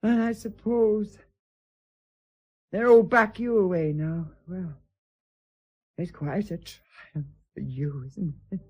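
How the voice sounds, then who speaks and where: A woman playing a bitter, coarse-tongued fallen mistress in a radio drama, husky and histrionic. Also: fairly low pitch, slow, long pauses, darkish tone, lo-fi sound. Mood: bitter, wounded. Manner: histrionic, mocking.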